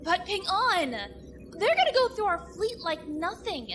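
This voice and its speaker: A woman playing an anxious, excitable crewmate in a space audio drama, worried and exclaiming. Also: extremely high pitch, a little slow, a little hiss or hum, heavily acted. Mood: alarmed.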